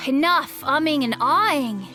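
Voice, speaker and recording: A woman voicing an excitable, pushy young friend in a video game, exclamatory and impatient. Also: high voice, measured pace, faint music behind, full character voice. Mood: exasperated. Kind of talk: animated video game voice acting.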